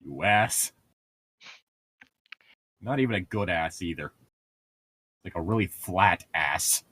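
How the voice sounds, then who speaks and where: A podcast co-host with a husky voice, joking and teasing casually while reading a story aloud. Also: low voice, slow pace, fairly bright tone. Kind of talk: casual joking commentary and mock dialogue.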